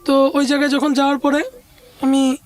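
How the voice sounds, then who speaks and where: A woman's voice: high-pitched, monotone, brisk, a little mellow, faint music behind. A woman with a Bangladeshi accent telling a personal life story as an interview guest, conversationally, often voicing what others said.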